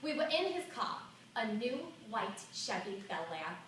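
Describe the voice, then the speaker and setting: An exasperated old lady in her seventies, played by a woman on stage, reminisces reluctantly in a theatrical monologue. The voice is fairly high and halting, breaking off and starting again. It rings through a very echoey theatre with faint noise. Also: sing-song, fairly bright tone, distant mic. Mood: grudging, wistful. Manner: halting, dramatic, colloquial, self-interrupting. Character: reticent, exasperated seventy-two-year-old New York woman reminiscing. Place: small indoor theatre stage.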